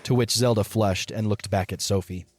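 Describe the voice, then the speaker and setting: Low-voiced man narrating a story over music. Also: fairly even intonation, normal speed. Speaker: narrator of a fan audio drama. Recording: music under the voice.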